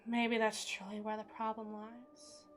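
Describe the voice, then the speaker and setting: A woman's voice: a little high, little pitch movement, a little slow, faint music behind, natural voice. A woman playing an unstable, brooding evil queen in an intense, theatrical audio roleplay, speaking in formal, dramatic monologue. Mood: grim, commanding. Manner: theatrical, formal, intense, swinging between hushed and forceful.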